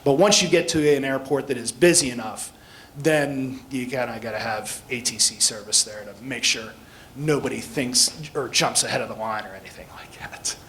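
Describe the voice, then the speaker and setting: A man's voice: mid-range voice, moderate pace, fairly bright tone, a little hiss or hum, poor audio. An American man speaking as a guest instructor, explaining things plainly in an informal talk with questions from listeners. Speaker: guest speaker, air traffic control instructor.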